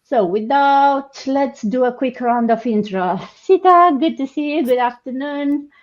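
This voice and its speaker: A woman hosting a live online panel over a video call, chatting in a relaxed way with filler words. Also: high voice, unhurried. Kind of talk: casual presenting. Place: home, in Ontario. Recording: muffled lo-fi call audio.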